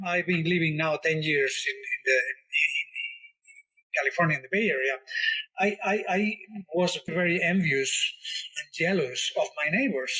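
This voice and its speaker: A man's voice: on the high side, sharp tone, low-quality recording. A middle-aged man from Spain with a Spanish accent, answering interview questions in English with many fillers and repeated words. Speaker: biotech executive guest.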